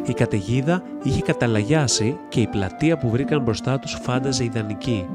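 A man narrating a children's fairy tale as a scripted storyteller. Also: mid-range voice. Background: music.

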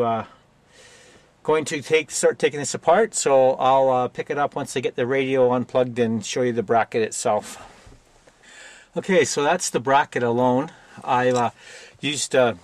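A Canadian man talks through a do-it-yourself job in an everyday mid-range voice. His delivery is plainspoken and halting, clearly unscripted. The sound is close and dry, with very little room to it. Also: medium pitch, dry recording. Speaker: DIY video presenter. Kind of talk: casual hands-on tutorial narration.

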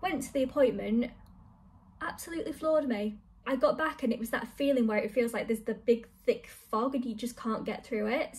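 A young woman from the UK chatting casually to camera about her week in her flat, upbeat and laughing often. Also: fairly high pitch. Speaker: vlogger. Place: small flat living room and kitchen, indoors.

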